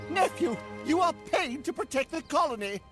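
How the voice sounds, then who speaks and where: An actor playing an anxious older colonial uncle in a video game, panicky and high-strung. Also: male voice, lively intonation, moderate pace. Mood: indignant. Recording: loud music behind the voice.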